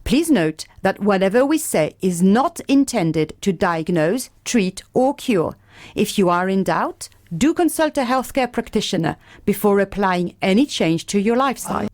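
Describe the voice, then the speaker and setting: Woman reading a measured radio disclaimer. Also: medium pitch, lively intonation, a little mellow. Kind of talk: scripted announcement.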